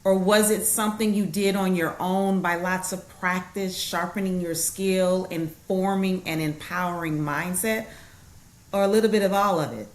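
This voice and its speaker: A woman's voice: fairly low pitch, fairly even intonation, normal speed. Woman podcast host, roomy echo, keeps saying yeah and okay. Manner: affirming, frequent short agreements. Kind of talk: interview conversation.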